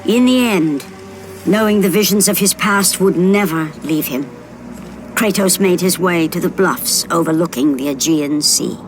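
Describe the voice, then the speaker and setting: A woman's voice: on the low side, a little slow, faint music behind, natural voice. Narrator: female actor, portentous storyteller for a fantasy game, solemn and grave, over a musical score. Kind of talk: scripted dramatic narration for a fantasy video game.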